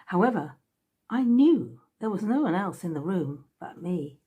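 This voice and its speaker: A woman reading a scripted narration aloud in a measured, storytelling way. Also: lowish voice, sing-song, breathy edge, narrow, tinny audio. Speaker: author reading from own book.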